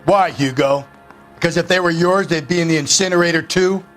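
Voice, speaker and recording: Male, actor playing testy detective in a game. Gruff, sarcastic, somewhat high voice, faint music behind. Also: a little fast, a bit bright, natural voice. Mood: sarcastic, aggressive. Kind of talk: crime game acting.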